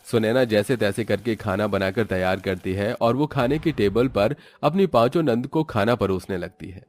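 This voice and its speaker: A man's voice: on the low side, normal speed. A man narrating a scripted moral story as its storyteller.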